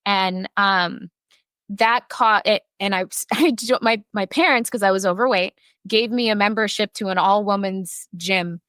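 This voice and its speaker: Bright-voiced woman telling her story. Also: medium pitch, measured pace, clean tone, dry recording. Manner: frank, talkative, swears casually, many restarts. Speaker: podcast guest. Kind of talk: casual personal storytelling.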